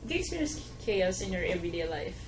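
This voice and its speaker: A woman host asking slow, hesitant interview questions with many fillers. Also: on the low side, big pitch swings, background noise. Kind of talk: casual interview.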